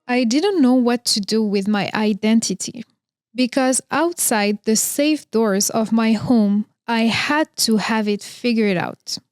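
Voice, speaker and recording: A woman in her thirties from Morocco, hosting a podcast and telling her own story in a slow, reflective, earnest monologue. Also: fairly high pitch, smooth, dry recording, clean studio sound. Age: 30s. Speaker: podcast host. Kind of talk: scripted personal monologue.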